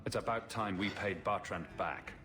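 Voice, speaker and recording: A man voicing a confident male hero who speaks calmly and teases dryly. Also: fairly low pitch, moderate pace, lightly acted. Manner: calm, dryly teasing. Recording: game cutscene with music behind.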